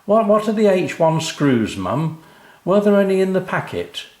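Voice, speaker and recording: A harassed, easily flustered dad from a children's storybook, read by a man. His delivery is animated, swinging widely in pitch. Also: moderate pace, echoey room. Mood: exasperated.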